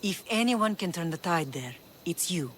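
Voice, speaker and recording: A woman's voice: on the low side, expressive, normal speed, slight background noise, natural voice. A woman acting a proud, determined Spartan mother in a video game, middle-aged, grave and composed.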